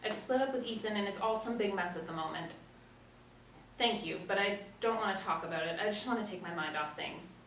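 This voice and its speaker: A woman acts out a stressed, evasive actress in a drama table read. Her mid-pitched voice is recorded at a distance in a roomy space. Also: a little fast, a bit bright, close to an everyday voice. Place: small room indoors. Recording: roomy, distant mic, faint noise.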